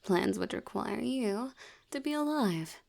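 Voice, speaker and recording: A woman's voice: mid-range voice, animated, a little slow, creaky, a little mellow. A woman voicing a seductive, teasing female vampire in an ASMR audio roleplay, often dropping into a whisper.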